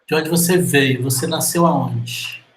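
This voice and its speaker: Guy hosting a chill interview, gets all excited, echoey room, bit of hiss. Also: on the high side, unhurried. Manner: excitable, reacts with exclamations. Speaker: podcast host. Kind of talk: casual interview.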